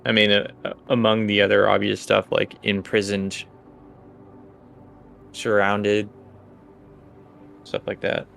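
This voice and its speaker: Gravelly man thinking aloud as game master. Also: fairly low pitch, completely flat delivery, slow, pauses often, fairly bright tone, soft background music. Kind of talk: improvised tabletop game narration and rules talk.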